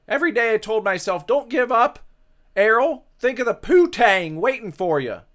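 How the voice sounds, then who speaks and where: A man voicing the ghost of a brash, crude young soldier, shouty and melodramatic, in a visual novel reading. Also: very high-pitched, very animated, normal speed, heavily acted. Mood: defiant, crude.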